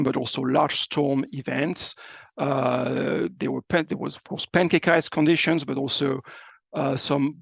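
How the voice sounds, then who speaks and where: A man giving a scientific presentation as a researcher, explaining slides in a halting, hesitant way with frequent fillers. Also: fairly high pitch, creaky, breathy edge, no room sound.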